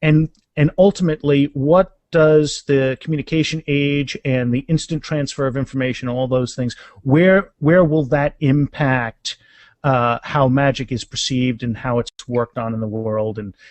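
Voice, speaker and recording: A man's voice: mid-range voice, steady tone, a little slow, a little mellow, dry recording. A man of about fifty chatting casually and enthusiastically as a guest author in a podcast interview, rambling as he goes.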